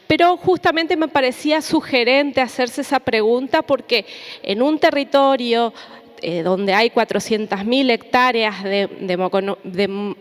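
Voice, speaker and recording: Woman giving an informal talk, voice a bit high, echoey hall, chatty and reflective. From Argentina or Paraguay border region. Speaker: academic, activist and book contributor presenting at a book launch. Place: indoor hall at a public book presentation. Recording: reverberant hall.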